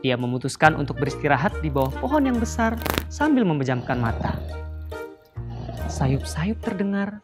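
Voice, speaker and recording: A woman telling a children's story in an animated, sing-song way, addressing the children directly. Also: sits low, normal speed, long pauses, music in the background.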